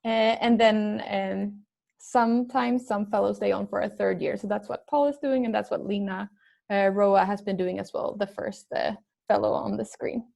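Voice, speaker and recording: A young woman from Sweden leads a video call panel, her voice carrying a gravelly fry. She speaks haltingly and feels her way through introductions. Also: medium pitch, steady tone, a little mellow. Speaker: panel moderator, medical student.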